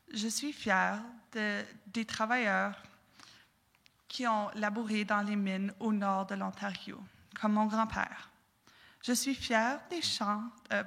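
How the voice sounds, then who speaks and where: A woman's voice: fairly high pitch, a bit bright. A young woman from southwestern Ontario with a southwestern Ontario French accent, giving an earnest prepared talk on stage as a student speaker. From southwestern Ontario, Canada. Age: young adult. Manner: earnest, declamatory with occasional restarts. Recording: long hall reverb.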